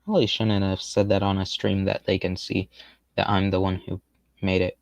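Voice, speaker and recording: A man casually rambling through gameplay commentary on a stream, with a fairly monotone, laid-back and self-deprecating way of talking. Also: deep voice, moderate pace, no room sound. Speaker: game streamer.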